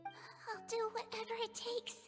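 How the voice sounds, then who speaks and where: A young apprentice healer from a video game. Her very high voice is gentle, earnest and determined, with soft music behind. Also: completely flat delivery, unhurried, long reverb tail. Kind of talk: video game drama acting.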